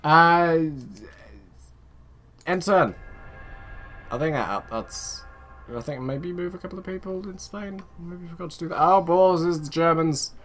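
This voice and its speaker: A man's voice: a little high, a little slow, long pauses, a bit bright, faint music behind. A man giving casual let's play commentary, rambling and muttering to himself as he plays, joking at his own expense. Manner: self-mocking, chatty.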